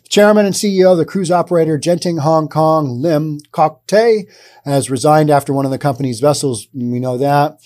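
A man in his thirties hosting a podcast, giving casual, sarcastic commentary on the news into a studio boom mic. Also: normal speed, darkish tone. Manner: sarcastic, acts out imagined dialogue. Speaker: podcast host. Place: dim studio with brick wall and neon sign.

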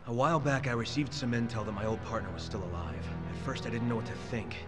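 A man's voice: mid-range voice, fast, lightly acted. An actor playing a determined, haunted soldier in his thirties in a video game cutscene, speaking in a grave, terse way. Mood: grave, resolute. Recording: game audio under loud music.

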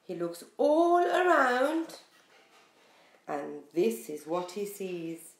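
A woman in her forties reading a picture book aloud to young children in an expressive, sing-song way. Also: mid-range voice, very slow. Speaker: preschool storyteller. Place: small bare room indoors. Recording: echoey small room.

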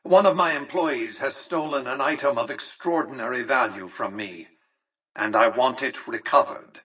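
An actor playing a haughty, calculating ancient tycoon in a video game, speaking in a pompous, precise, condescending way. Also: male voice, medium pitch, moderate pace, sharp tone, breathy edge, recorded from a distance, poor audio. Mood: lordly, measured. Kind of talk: scripted video game dialogue.

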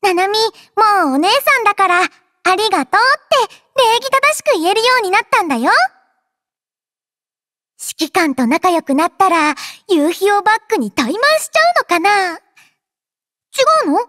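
A woman's voice: very high voice, animated, moderate pace, a bit bright, no echo, studio quality. A woman voicing a cheerful, childlike android girl in an anime game, playful and boastful in manner. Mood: playful, earnest. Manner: playful, childlike, refers to herself by name. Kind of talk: anime game character voice lines. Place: dry studio.

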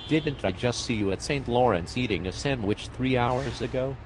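A man's voice: medium pitch, moderate pace, a little hiss or hum, close to an everyday voice. A man voicing a weary, sarcastic fire medic. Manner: dry, sarcastic, exasperated. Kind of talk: amateur animated comedy voice.

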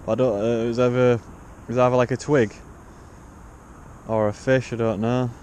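A man's voice: mid-range voice, level tone, normal speed, darkish tone, slight background noise. A young man with an English accent chatting casually and in slang as he fishes outdoors, picked up on an action camera. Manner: informal, slangy. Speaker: angler hosting a fishing vlog. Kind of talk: casual chat while fishing. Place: outdoors on a riverbank. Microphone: body-worn action camera.

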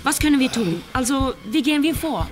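A woman's voice: high-pitched, expressive, brisk. An actress playing a frightened but determined woman thrown into an emergency in an audio crime drama. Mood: tense, anxious.

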